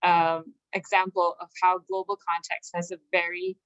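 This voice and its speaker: A woman's voice: on the low side, little pitch movement, normal speed, fairly bright tone, clean tone. A woman who grew up in Malaysia, a university dean giving an earnest conversational talk, often checking in with "right?". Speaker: assistant dean speaking at a community event. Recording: dull lo-fi sound.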